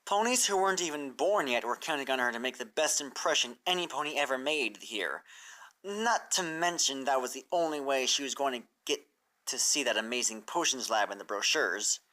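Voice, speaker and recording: A storybook narrator reading fan fiction aloud. The voice is bright and forward, with lively, varied intonation through the scripted prose. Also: medium pitch, moderate pace.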